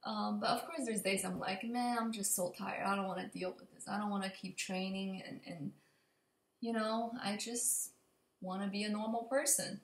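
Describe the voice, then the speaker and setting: A young woman with a slightly raspy voice from allergies gives a casual, unscripted update to camera in a hesitant, rambling way. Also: mid-range voice, fairly even intonation, moderate pace. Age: young adult. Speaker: vlogger. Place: indoor open kitchen and living room. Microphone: computer mic at desk distance. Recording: echoey room, computer mic.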